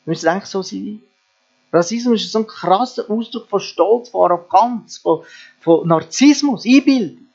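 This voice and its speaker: Man, pastor, high voice, earnest sermon with rhetorical questions, lo-fi recording with mains hum. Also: moderate pace, gravelly, a little mellow. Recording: dull lo-fi sound with mains hum.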